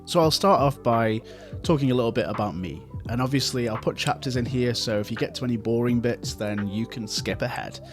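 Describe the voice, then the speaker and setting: A chatty man in his forties with a light Stoke-on-Trent lilt tells his story at an easy middle pitch. His delivery is casual, with little self-deprecating asides. Soft music plays faintly behind him. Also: fairly quick. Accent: light Stoke-on-Trent English. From England. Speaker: software developer vlogger. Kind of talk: personal storytelling vlog.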